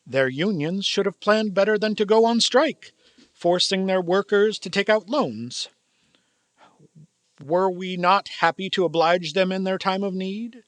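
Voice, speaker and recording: A man's voice: high voice, measured pace, fairly bright tone, dry recording. An actor playing a cold, miserly old businessman in a radio play, speaking in a formal, sarcastic, condescending way. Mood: cold, stern. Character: cold, miserly old male business owner, scornful of Christmas. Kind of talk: theatrical radio play acting.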